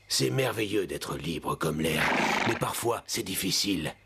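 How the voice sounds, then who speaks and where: An old ghost horse with a very low voice. He is solemn and instructive, like an elder storyteller in a children's cartoon. Prominent music runs behind him. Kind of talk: French dubbed children's cartoon acting.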